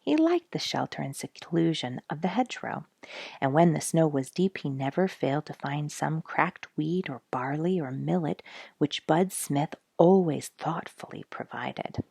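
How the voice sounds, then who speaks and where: A woman storyteller reading a children's book expressively, with deliberate pauses and casual asides. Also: sits low, lively intonation, vocal fry, a little mellow, slightly rough, dry recording, clean studio sound.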